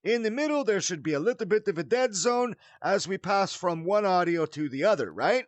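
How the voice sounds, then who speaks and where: A man's voice: high voice, expressive, brisk, no echo, lo-fi sound. A man hosting a casual game tutorial in a theatrical way, mixing mock-formal wording with folksy slang. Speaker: tutorial host. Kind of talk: casual spoken game tutorial with showy greeting.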